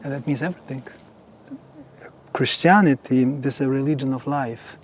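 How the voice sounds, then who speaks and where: A man whose first language is Polish, a monk explaining his monastery grounds on a tour in slow, earnest English. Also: medium pitch, slight background noise. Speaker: Carmelite monk giving a tour. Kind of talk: casual guided tour conversation.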